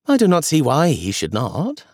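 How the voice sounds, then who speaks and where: A man's voice: fairly high pitch, wildly swooping pitch, moderate pace, husky, no room sound, studio quality, pitched above the performer's own voice, exaggerated voice. Man doing an old grandmother, lilting and fond, storybook. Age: older. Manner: gentle, amused. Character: kind, teasing grandmother, gently witty.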